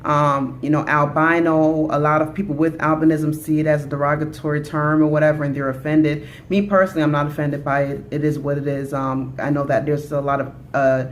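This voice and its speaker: A chatty woman in her thirties, originally from Jamaica, talks to camera in an easygoing, upbeat vlog style. She often pauses on fillers like "you know" and "like" as she goes along. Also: deep voice, flat delivery, slight background noise. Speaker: vlogger. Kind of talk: casual talk to camera.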